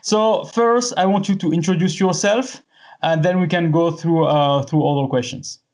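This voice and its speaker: A man hosting a podcast interview, friendly and halting, with many fillers. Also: fairly high pitch, darkish tone. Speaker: podcast host.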